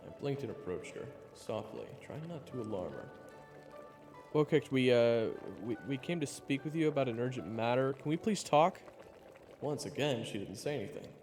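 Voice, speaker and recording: A man playing an excitable, sarcastic young adventurer who tells his story in a fantasy audio roleplay. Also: mid-range voice, moderate pace, echoey room, long reverb tail, soft background music, lightly acted. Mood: hesitant, polite. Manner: expressive, sarcastic, jumpy. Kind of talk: first-person narration and audio roleplay acting.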